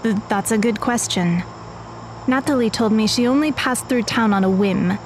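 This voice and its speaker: A woman's voice: fairly high pitch, normal speed, a little hiss or hum, close to an everyday voice, low-quality recording. A woman playing a composed, guarded teenage magical girl in an audio drama, precise and matter-of-fact.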